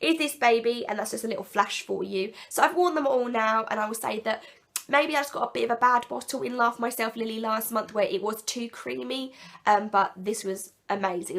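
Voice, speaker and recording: Croaky congested woman chatting about nails. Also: fairly high pitch, a little fast, a bit bright. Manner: chatty, enthusiastic, rambling with self-corrections. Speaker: nail polish vlogger. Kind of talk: casual product review.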